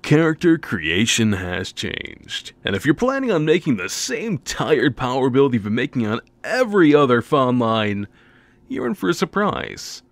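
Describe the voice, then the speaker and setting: Animated, gravelly man narrating, higher-pitched. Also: measured pace, no room sound. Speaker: video game reviewer and narrator. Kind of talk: scripted promotional narration.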